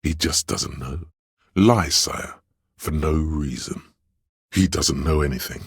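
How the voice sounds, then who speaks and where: A man voicing a video game's inner voice of drama and deceit, grandiose, courtly and fawning, with a gravelly, husky delivery. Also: a bass voice, takes it slowly, no room sound, clean studio sound, heavily acted. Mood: sly, knowing. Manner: grandiose, mannered, courtly, obsequious. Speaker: video game voice actor. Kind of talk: theatrical game character narration.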